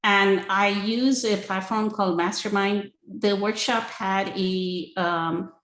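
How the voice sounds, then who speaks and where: A woman speaks as a spiritual teacher in an informal, earnest way, turning to listeners with rhetorical check-ins. Her voice sits at a middle pitch. The sound is roomy and echoing, as on an online call from an indoor space. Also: fairly even intonation, slow pace, a bit bright. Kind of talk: spiritual talk, conversational teaching.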